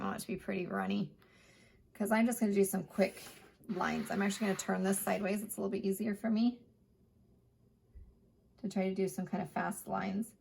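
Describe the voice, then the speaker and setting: Hoarse woman teaching a painting class. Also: medium pitch. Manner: chatty, plain instructional talk. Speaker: art teacher. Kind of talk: step-by-step painting lesson.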